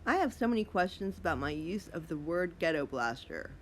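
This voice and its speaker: A woman's voice: lowish voice, very animated, brisk, a little hiss or hum. A woman reading a story aloud informally, with amused, giggly asides of her own.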